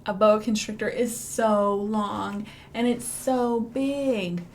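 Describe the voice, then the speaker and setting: A woman's voice: medium pitch, steady tone, takes it slowly, slight background noise. A woman with a Midwestern American accent, a children's librarian leading storytime with songs and read-alouds in a playful, sing-song way with dramatic whispers.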